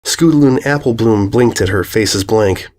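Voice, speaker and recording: A man's voice: on the low side, moderate pace, a little mellow, a little hiss or hum. A man giving a scripted, dramatic narration as a storyteller.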